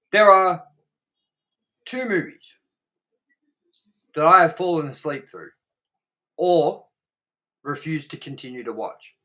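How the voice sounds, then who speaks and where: A man's voice: on the high side, sharp tone. A man co-hosting a casual film review chat, speaking bluntly and emphatically with plenty of swearing. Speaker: co-host of a movie review show.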